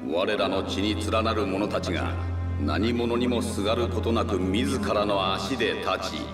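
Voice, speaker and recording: A man plays a gentle, hopeful old giant in a game flashback. The low, stately voice rings with echo from a distance, over clear music. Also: lightly acted. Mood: contemplative, hopeful. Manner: stately, contemplative, measured. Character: wise, gentle, hopeful male giant elder. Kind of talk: dramatic video game flashback acting. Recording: echoing, distant flashback effect.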